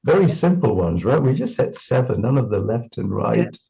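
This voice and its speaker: A man's voice: medium pitch, very animated, moderate pace, creaky, warm tone, highs cut off. A man from Singapore reminiscing in an interview, thoughtful and full of restarts and fillers. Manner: thoughtful, repeats words, many fillers.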